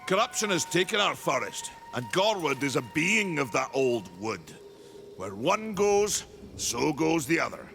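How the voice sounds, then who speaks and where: Slow, gravelly old warrior craftsman. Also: fairly high pitch, very animated, fairly bright tone, soft background music, exaggerated voice. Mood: grave, brooding. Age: older. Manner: slow, weighty, archaic phrasing. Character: proud old male warrior maker, stern, battle-worn. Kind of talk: video game cutscene acting.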